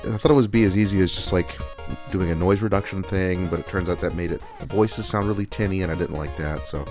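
A man's voice: deep voice, quick pace, over music. A man giving a casual spoken introduction as a podcast host.

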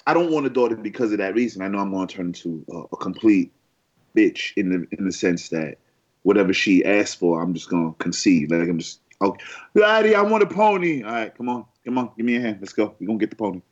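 A man's voice: fairly low pitch, lively intonation, fast, lo-fi sound. A man co-hosting a podcast, talking earnestly in casual chat and often restarting his sentences. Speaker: podcast co-host.

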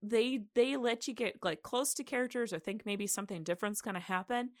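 A woman's voice: on the high side, fairly quick, dry recording. Informal woman podcast host, dry close sound. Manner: jokey, giggly, informal. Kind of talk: casual, conversational history storytelling.

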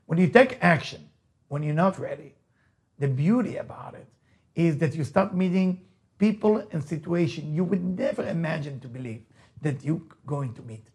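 A man's voice: fairly high pitch, normal speed, darkish tone. A middle-aged man from Israel with an Israeli accent, a native Hebrew speaker, giving an emphatic, repetitive motivational talk.

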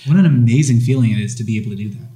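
A man talks candidly on a live podcast panel, often pausing and restarting as he works out his thoughts. His tone is mellow and relaxed. The room around him is audibly roomy, as on a convention stage. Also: medium pitch. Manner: candid, self-deprecating, halting with restarts. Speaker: guest podcaster.

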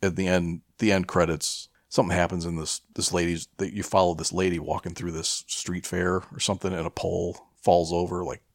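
A man co-hosting a podcast, chatting casually about films in a gravelly voice, rambling and swearing freely. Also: deep voice, level tone, a little fast, few pauses, dry recording, studio quality. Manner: profane, rambling. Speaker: podcast co-host.

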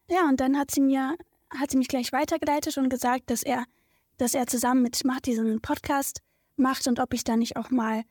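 A high-voiced young woman, nineteen, from Berlin, chatting as a podcast guest. She speaks openly, a little nervous, pausing to search for words. The sound is dry and close. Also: darkish tone, no room sound. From Berlin, Germany. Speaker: podcast guest, psychology student. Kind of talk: casual conversation.

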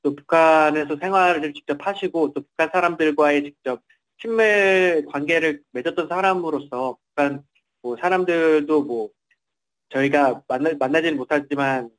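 A man's voice: a little high, normal speed, a bit bright, low-quality recording. A man reporting in a semi-formal interview, somewhat hesitantly, often repeating and rephrasing his words.

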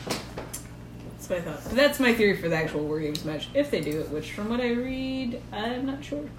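A woman's voice: fairly high pitch, lively intonation, moderate pace. Rambling, giggly woman in an echoey room. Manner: rambling and giggly, with lots of fillers. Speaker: podcast co-host. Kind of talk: casual chat about wrestling. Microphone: shared recorder. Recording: echoey room with background noise.

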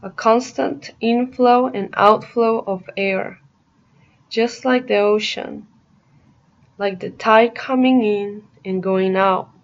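A woman narrating a guided meditation in a soothing, deliberate manner, pausing between short phrases. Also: medium pitch, steady tone, lo-fi sound.

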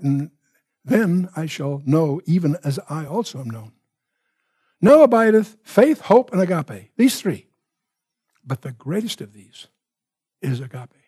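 Male Bible teacher, higher-set voice with a husky edge, deliberate and emphatic expository delivery with asides. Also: dark tone, no echo, studio quality.

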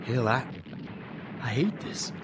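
Actor as an intense American WW2 soldier, swinging pitch, battle din. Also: male voice, lively intonation, slow pace. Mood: grim, anguished. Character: young American WW2 infantryman, gritty, shaken but bold. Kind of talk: radio drama acting. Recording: loud battle and vehicle noise behind.

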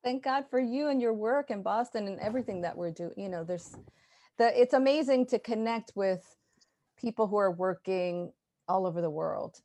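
Lively New woman on a call. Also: medium pitch, normal speed. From New York, USA. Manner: animated, laughing often, restarts. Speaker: guest artist. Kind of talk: casual interview chat. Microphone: video call.

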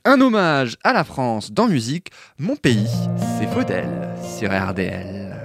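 A man's voice: medium pitch, sing-song, a little slow, vocal fry. A man presenting music on the radio in a chatty, conversational way, filling his talk with small fillers. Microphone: studio microphone.

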